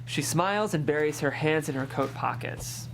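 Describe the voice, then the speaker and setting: A woman's voice: deep voice, normal speed, gravelly, slight background noise, low-quality recording. A woman reading a screenplay's scene directions as scripted narration at a table read. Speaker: stage-direction reader.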